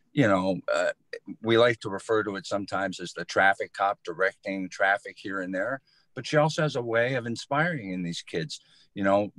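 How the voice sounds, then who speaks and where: A man's voice: on the low side, a bit bright, no echo. A man giving easygoing, thoughtful, conversational answers as an interview guest from community theater.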